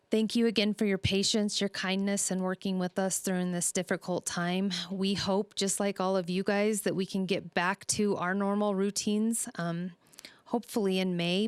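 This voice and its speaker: A woman's voice: on the low side, smooth, no echo. A woman speaking as a school superintendent, giving an earnest, reassuring public update to families in a semi-scripted way.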